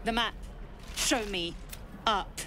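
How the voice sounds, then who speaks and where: A woman as a slow, haughty warrior woman. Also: mid-range voice, music in the background, lightly acted. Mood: commanding. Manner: clipped, imperious, disdainful. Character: haughty, stern female alien warrior. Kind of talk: video game voice acting. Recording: noisy game ambience.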